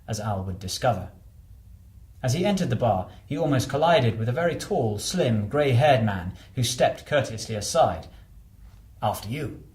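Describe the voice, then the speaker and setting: A man's voice: mid-range voice, darkish tone. Male audiobook narrator, slightly roomy sound. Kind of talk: scripted narration of a novel.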